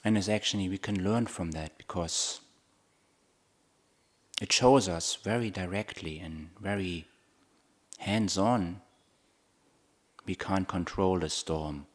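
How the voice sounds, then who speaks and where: A man with a low-pitched voice leads a guided meditation. He speaks very slowly, setting out short phrases one at a time. Long, measured pauses separate his thoughts, as a monk teaching would leave them.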